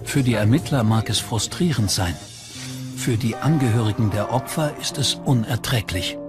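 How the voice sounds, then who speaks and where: A man's voice: lowish voice, normal speed. A man reading scripted true crime documentary narration in German-dub style as the narrator. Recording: music bed under voice. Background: prominent music.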